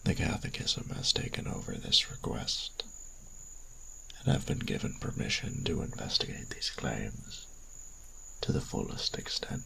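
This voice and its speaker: An extremely deep, croaking, husky voice for an ancient vampire inquisitor in an audio drama. He pronounces each phrase slowly and formally, cold and stern. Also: wildly swooping pitch, bright, forceful tone, exaggerated voice, poor audio. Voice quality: very deep gravelly rasp. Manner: slow, formal, solemn pronouncements. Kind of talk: dramatic audio roleplay acting.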